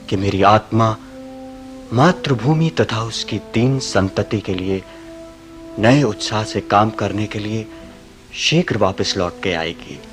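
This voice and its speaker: A condemned patriot declaims with solemn calm in a low voice. The slow radio-play monologue sits over clearly audible music, serene and resolute. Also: male voice, heavily acted. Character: condemned patriot reading his farewell letter, serene, resolute. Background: loud dramatic music.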